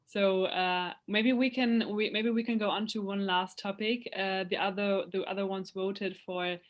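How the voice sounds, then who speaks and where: A woman's voice: medium pitch, steady tone, normal speed. A young woman presenting an online event, friendly, with many fillers and restarts.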